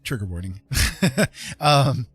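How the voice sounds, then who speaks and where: A man hosting a livestream, casually telling stories in an animated way with drawn-out dramatic phrasing. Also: medium pitch, husky, faint music behind. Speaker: livestream host. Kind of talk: casual storytelling. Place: indoor livestream with music behind.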